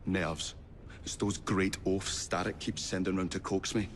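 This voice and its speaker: An actor playing a nervous, eccentric inventor in a video game, with a hesitant, wordy manner. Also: male voice, sits low, little pitch movement, a little slow, slight background noise. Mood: nervous, eager. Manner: hesitant, fussy, flustered, wordy. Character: nervous, eccentric, earnest male inventor, adult. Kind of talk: video game cutscene acting.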